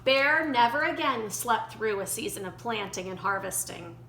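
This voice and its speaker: A woman storyteller reading a children's picture book aloud in an animated way. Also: fairly high pitch, normal speed. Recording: roomy echo, faint background noise.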